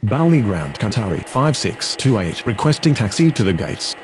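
A man's voice: on the low side, sing-song, normal speed. A man playing an airline pilot on air traffic radio in a game, with procedural scripted phrasing.

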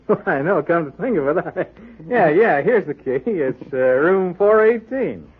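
Lively, husky hotel night clerk. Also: male voice, a little high, measured pace, full character voice. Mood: flustered, obliging. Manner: colloquial, easily flustered. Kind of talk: 1940s radio drama acting. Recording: tinny, band-limited vintage radio recording.

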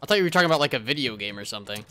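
A young adult woman chatting casually and playfully while gaming online, animated and encouraging. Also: extremely low pitch, very fast. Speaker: gaming video creator. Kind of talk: casual chat during online gameplay. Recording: noisy game audio, keyboard clicks.

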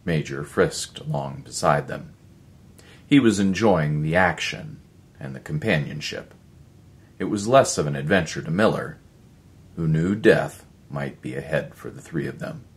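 A man's voice: a bass voice, expressive, measured pace. A middle-aged man reading a story aloud as a narrator, in a dramatic scripted style. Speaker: audiobook narrator, voice actor. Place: indoors, living room.

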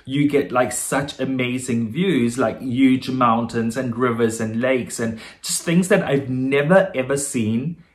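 This is a man with a South African accent who is talking casually to viewers. He has a mid-pitched voice and a relaxed manner, with lots of fillers and quick laughs between his thoughts. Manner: chatty, with frequent fillers and laughs; switches between German and English. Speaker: vlogger. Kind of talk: casual talk to camera.

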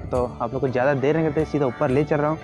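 A man's voice: fairly high pitch, fairly even intonation, music in the background. Young man vlogging outdoors by phone. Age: young adult. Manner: hurried, repetitive, keeps urging viewers along. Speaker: travel vlogger. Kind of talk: casual selfie vlog tour. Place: outdoors at a dam and river. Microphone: phone camera at arm's length.

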